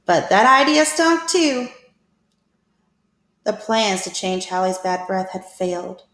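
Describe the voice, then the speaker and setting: A woman reading a picture book aloud to children as a teacher, with expressive, deliberate storytelling. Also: mid-range voice. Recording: echoey room.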